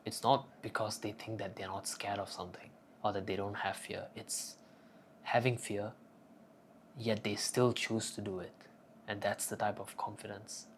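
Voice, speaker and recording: Cocky, profane young man with gravelly low voice. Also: level tone, normal speed, slight background noise. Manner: cocky, profane, joking bravado. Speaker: self-improvement vlogger. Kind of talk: casual crude advice monologue.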